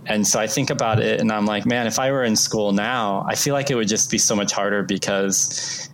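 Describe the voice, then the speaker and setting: A man's voice: fairly low pitch, steady tone, a little fast, slight background noise, poor audio. A man born in Mexico hosting a casual conversation, reflective and thoughtful as he shares his experience.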